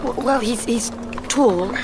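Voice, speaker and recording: Anxious young victorian wife, high slow halting voice, noisy background, radio play. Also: female voice. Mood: uneasy. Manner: hesitant, halting. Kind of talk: radio drama acting.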